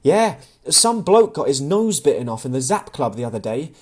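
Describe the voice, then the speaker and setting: A man's voice: mid-range voice, big pitch swings, normal speed, a little mellow, husky. A man voicing a playful, nervous young woman in love, with a questioning, teasing delivery, in an audiobook. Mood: nervous, eager. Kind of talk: audiobook character dialogue.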